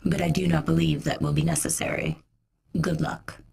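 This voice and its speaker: A woman playing a grave female vigilante in scripted game dialogue, husky and gravelly, speaking in a measured, serious way. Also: deep voice, flat delivery, a little mellow, full character voice. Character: Batgirl, grave female vigilante sending a warning.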